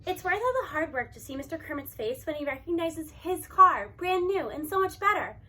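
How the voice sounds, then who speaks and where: A woman reading a children's novel aloud in an expressive, animated storytelling manner. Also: extremely high pitch, brisk, a little hiss or hum. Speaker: audiobook reader.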